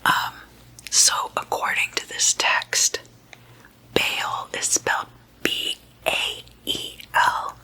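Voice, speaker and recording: Slow whispering ASMR woman. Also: poor audio. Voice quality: soft breathy unvoiced whisper. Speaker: ASMR host. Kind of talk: whispered ASMR, chatty explainer with sleepover roleplay.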